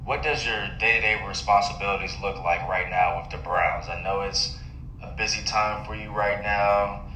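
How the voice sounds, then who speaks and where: A man's voice: on the low side, steady tone, normal speed, pushed and bright. A man hosting a podcast, asking conversational interview questions. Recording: very echoey room with faint noise.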